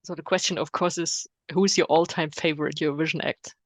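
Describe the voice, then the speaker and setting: Give me an interviewer whose first language is German, asking short, plain questions in careful English. The voice sits at a middle pitch and sounds dry, with no room echo behind it. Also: fairly even intonation, a little fast. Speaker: interviewer, podcast host.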